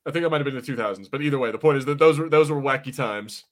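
A man's voice: medium pitch, racing. A man co-hosting a podcast, giving casual watch-party commentary in a chatty, slangy way with some swearing. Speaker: podcast co-host.

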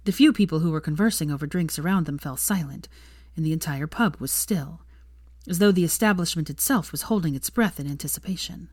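A woman's voice: deep voice, a little fast, darkish tone, no room sound, studio quality. A woman reading fiction aloud as an audiobook narrator, in scripted storytelling style.

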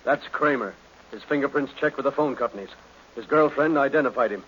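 Gruff American police captain acted by a man, muffled sound. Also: medium pitch, a little fast, fairly bright tone, close to an everyday voice. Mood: sardonic, irritated. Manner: blunt, sardonic, grumbling. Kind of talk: 1940s-50s radio drama acting. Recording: noisy, muffled old radio recording.

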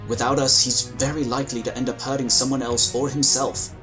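A man playing a composed, dutiful psychic agent in a sci-fi audio drama, speaking in a formal, earnest, measured way. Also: medium pitch, steady tone, over music, natural voice. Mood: earnest, solemn.

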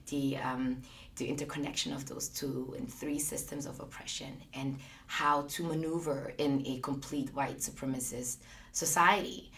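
A woman, a guest in an interview, speaking earnestly and reflectively, often pausing on fillers. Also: sits low, fairly bright tone, recorded from a distance. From Austria. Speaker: guest, business founder. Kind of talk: conversational interview.

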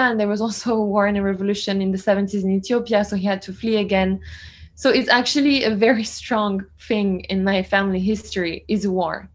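Earnest young woman reporter in her twenties. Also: mid-range voice. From France. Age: 20s. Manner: earnest, reflective, fluent with "you know" fillers. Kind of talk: conversational interview answers.

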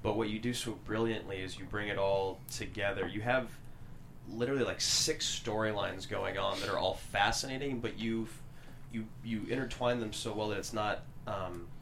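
A man's voice: lowish voice, fairly even intonation, normal speed, vocal fry, fairly bright tone, slight background noise. A man putting questions in a casual panel interview, speaking informally and with emphasis.

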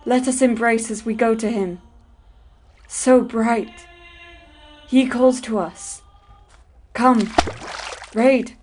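A woman's voice: fairly high pitch, steady tone, a little mellow, a little hiss or hum. Edwin, a woman playing a devout visionary man. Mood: rapturous. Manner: slow, earnest, old-fashioned speech. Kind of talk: archaic dramatic dialogue.

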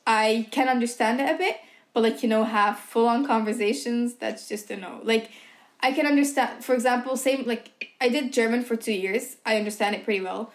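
A woman's voice: on the high side, fairly even intonation, fairly quick. Chatty young woman. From Belgium. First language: Dutch. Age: young adult. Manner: chatty, heavy use of "like" and "um". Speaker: podcast co-host. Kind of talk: casual chat.

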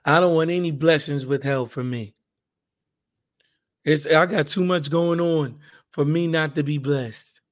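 A man with a hoarse, raspy voice, hosting a casual Bible podcast and leading a fervent spoken prayer. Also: fairly high pitch, unhurried, pauses often. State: hoarse, raspier than usual.

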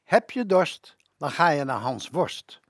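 A man's voice: a little high, big pitch swings, slow, dry recording, clean studio sound. A man in his seventies recounting memories on a local radio show. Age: 70s. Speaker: radio presenter.

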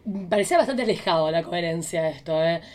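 A woman's voice: on the low side, lively intonation, fast, darkish tone. A woman with an Argentine accent in casual chat, making informal, joking comments.

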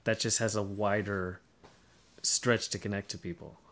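A man's voice: on the low side, flat delivery, unhurried, a bit bright. A man co-hosting a casual podcast, explaining his points step by step. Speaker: podcast host.

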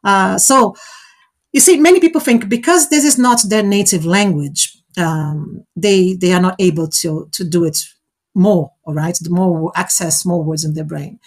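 A woman's voice: fairly low pitch, moderate pace. A woman whose first language is Portuguese, hosting a live online exercise, encouraging participants and checking in often with "okay?" and "all right?". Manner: encouraging, coaching, frequent check-ins like "okay?". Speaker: host running a fluency exercise.

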